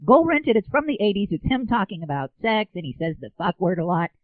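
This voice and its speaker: Crude little movie reviewer, wildly animated pitch, gleeful whoops, comedy show, muffled narrow-band sound. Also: male voice, quick pace, no echo.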